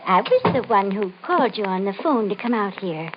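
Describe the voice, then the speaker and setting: An actress in an old-time radio mystery playing a nervous, insistent, ominous woman with a halting, pleading, melodramatic delivery. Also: mid-range voice, lively intonation, natural voice. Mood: uneasy explaining. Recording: muffled, hissy old radio recording.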